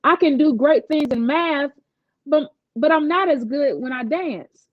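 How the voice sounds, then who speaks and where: A woman's voice: sits high, animated, a little slow, no room sound, highs cut off. A woman voicing a sweet, anxious schoolgirl in a storybook, with a nervous, earnest way of talking. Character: anxious, sweet schoolgirl who is easily upset.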